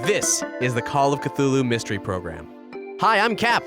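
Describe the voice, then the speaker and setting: A man's voice: mid-range voice, huge pitch swings, moderate pace, over music. A man hosting a podcast in a studio, introducing the show and its players to listeners. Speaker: podcast host.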